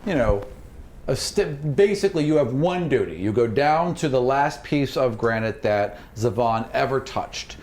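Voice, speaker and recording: A man's voice: mid-range voice, lively intonation, moderate pace, a little mellow. A man narrating a tabletop fantasy game as its game master.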